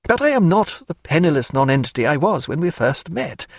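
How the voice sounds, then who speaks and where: A man reading an earnest gentleman in an audiobook, speaking in a stiff, formal manner. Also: fairly high pitch, very animated, brisk, creaky, dry recording, clean studio sound, pitched above the performer's own voice, full character voice. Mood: passionate.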